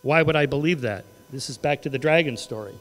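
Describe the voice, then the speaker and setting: A man in his sixties arguing his case in a debate, animated and conversational, at a podium mic in a large auditorium. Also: medium pitch, a little fast. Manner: animated, conversational, gestures widely. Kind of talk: formal debate argument. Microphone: podium mic on a stand. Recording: long hall reverb.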